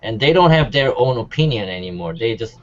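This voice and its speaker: A man's voice: medium pitch, animated, brisk. Male panel guest, middle register, loose slangy talk, a touch lo-fi. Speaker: panelist on a music talk show. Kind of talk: casual, slangy chat. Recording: slightly dull, muffled audio.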